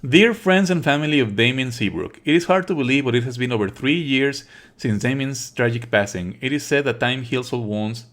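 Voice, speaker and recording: A man's voice: mid-range voice, animated, a little fast, a bit bright. A man streaming a let's play, reading on-screen text aloud and adding sarcastic, exasperated asides. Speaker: streamer playing a visual novel.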